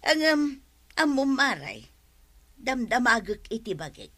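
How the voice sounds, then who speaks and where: A complaining wife in an Ilocano-accented radio comedy. Her voice is high and bright, whiny and dramatic, bouncing across a lively range. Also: measured pace, heavily acted. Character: nagging, complaining village wife.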